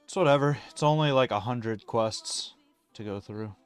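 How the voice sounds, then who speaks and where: A man's voice: mid-range voice, moderate pace, clean tone, no room sound, faint music behind. A man giving casual, sardonic livestream commentary as a game streamer, grumbling along as he goes.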